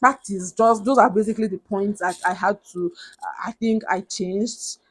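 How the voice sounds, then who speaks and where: A young Nigerian woman with a big, loud voice talks straight to camera in a vlog. She pushes her advice with earnest emphasis and keeps repeating the key points. Her pitch sits in a mid, everyday range for a woman. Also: fairly even intonation, moderate pace. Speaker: vlogger. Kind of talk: motivational advice talk to camera.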